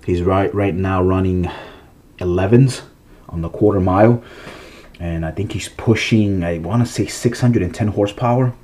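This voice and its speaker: A man with a blocked, stuffy nose talks through his toy car collection in a loose, rambling vlog. His voice sits low and mellow, and he keeps restarting his sentences. He sounds casual and chatty, recorded on a camera mic at home. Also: normal speed. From Massachusetts, USA.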